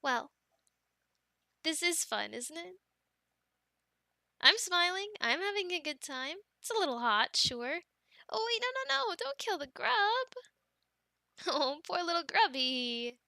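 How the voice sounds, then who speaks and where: A young woman voicing an affectionate, teasing girlfriend in an ASMR roleplay, speaking softly, giggling and dropping into whispers, close to the mic. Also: extremely high pitch, sing-song, slow pace, vocal fry, pauses often, no echo, heavily acted. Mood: playful. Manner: soft and giggly, drifting into whispers.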